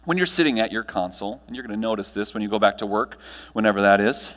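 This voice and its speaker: An American man in his thirties giving a motivational conference keynote, projected and emphatic, with deliberate pauses and rhetorical questions. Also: lowish voice, expressive, a bit bright. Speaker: keynote speaker and trainer. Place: large hotel ballroom stage. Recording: long hall reverb from ballroom PA.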